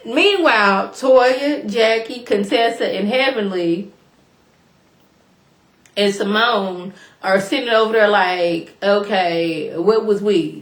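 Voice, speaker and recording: A woman casually recapping a reality show episode for her viewers in a chatty, slangy way, a little hoarse from a cold. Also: medium pitch. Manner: chatty, animated, slangy, addresses viewers directly. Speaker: YouTube reality TV reviewer. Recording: echoey room.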